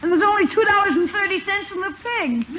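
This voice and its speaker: A high-pitched, scheming young boy. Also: expressive, fast, a loud crowd. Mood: eager, boastful. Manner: brash, slangy, eager. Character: eager, scheming young boy nephew, excitable and slangy. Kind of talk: 1950s radio sitcom acting. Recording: muffled, noisy old radio broadcast recording.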